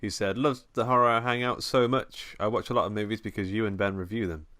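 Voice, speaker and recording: A man with a fairly low voice hosts a horror film podcast, talking in a relaxed, chatty way full of little restarts. He is close on a podcast mic with a dry, dead sound. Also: fairly quick, no echo. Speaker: podcast host. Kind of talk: casual film podcast chat.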